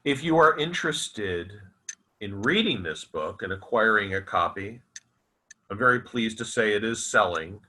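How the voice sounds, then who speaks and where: A man reciting his own literary fiction as its author, in a theatrical, deliberate and emphatic manner. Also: lowish voice, animated, pushed and bright. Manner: dramatic, deliberate, emphatic with repeated phrases. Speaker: author reading own novel aloud.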